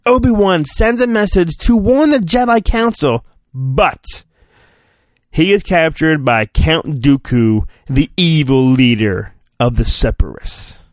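A man giving a mock-dramatic storybook reading, theatrical in delivery, dropping into goofy, deadpan, sarcastic asides. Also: on the high side, slow, dry recording, clean studio sound. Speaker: podcast host.

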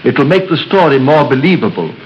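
Solemn church elder, slightly high voice; dull old radio sound. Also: male voice, big pitch swings, a little hiss or hum. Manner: slow, solemn, measured storytelling. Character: grave local church elder telling legends. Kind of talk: 1930s radio drama acting.

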